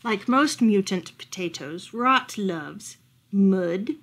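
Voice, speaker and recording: A woman reading a children's picture book aloud at home in an animated storytelling style. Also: mid-range voice, takes it slowly. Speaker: librarian reading bedtime stories. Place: furnished room at home, indoors.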